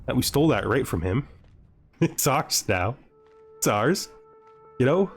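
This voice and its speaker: A man's voice: medium pitch, lively intonation, a little slow, breathy edge, faint music behind. A man hosting a gaming video, thinking aloud in casual commentary and playfully gloating with in-character asides.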